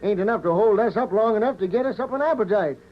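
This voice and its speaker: An actor playing an eager, cocky ranch foreman in a 1940s radio western, with folksy, excitable cowboy talk. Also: sits high, quick pace, natural voice. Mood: eager, gloating. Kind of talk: 1940s radio drama acting. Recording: muffled noisy old radio recording.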